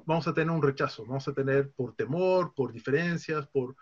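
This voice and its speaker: A man from France, a guest on a casual podcast, explaining things calmly with frequent hesitations. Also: medium pitch, a little fast. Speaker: guest, conference interpreter. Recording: dull lo-fi recording.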